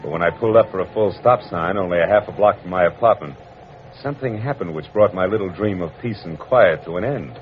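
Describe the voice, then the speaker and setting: An actor playing a wry, worldly private detective with an American accent, narrating and talking in clipped hard-boiled radio noir style. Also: male voice, low voice, fast, a little hiss or hum, natural voice. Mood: world-weary. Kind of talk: 1940s-50s radio noir acting and first-person narration. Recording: muffled vintage radio recording with music.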